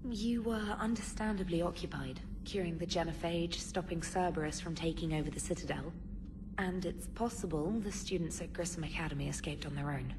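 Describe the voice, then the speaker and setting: An actress voicing a female ship intelligence that reports in a precise, measured way. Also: on the low side, background noise, natural voice.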